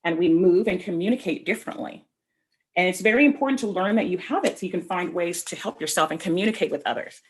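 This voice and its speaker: A woman reading a picture book aloud to children, expressively, pausing to explain things directly to her young listeners. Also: fairly low pitch, breathy edge. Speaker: read-aloud storyteller.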